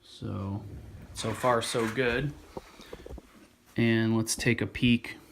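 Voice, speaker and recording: A man's voice: lowish voice, fairly even intonation, drawn-out words, creaky, fairly bright tone, roomy sound, a little hiss or hum. A man giving a casual product demonstration as a reviewer, thinking aloud in a loose, slightly hesitant way.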